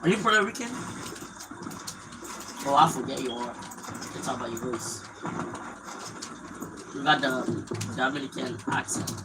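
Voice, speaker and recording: A young male streamer talks over his game, mocking and cursing at the people he plays with in crude banter. His voice sits at an ordinary middle pitch. A loud steady background noise runs under everything he says. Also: normal speed, pauses often. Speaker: gaming streamer. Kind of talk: casual live-stream trash talk.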